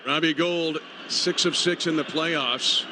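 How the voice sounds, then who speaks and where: A man's voice: fairly high pitch, fairly even intonation, takes it slowly. An American man calling football play-by-play live on a radio broadcast. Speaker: play-by-play announcer. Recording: noisy stadium radio broadcast. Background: stadium noise.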